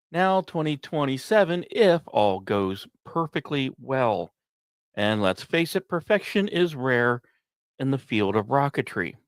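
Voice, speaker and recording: A man hosting a podcast, reading scripted narration in a measured, dramatic storytelling way with occasional conversational asides. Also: on the high side, no room sound, clean studio sound. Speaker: podcast host.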